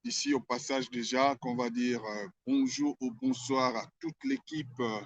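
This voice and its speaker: Hesitant male radio host, slow. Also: mid-range voice, steady tone, a little hiss or hum. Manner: hesitant, many drawn-out fillers. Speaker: interviewer host. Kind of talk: broadcast interview introduction. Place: radio station premises. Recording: dull lo-fi sound.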